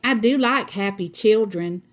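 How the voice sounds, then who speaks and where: A woman's voice: mid-range voice, measured pace, pitched above the performer's own voice, lightly acted. A woman voicing a cheerful, literal-minded, folksy woman teacher in a children's storybook, full of exclamations.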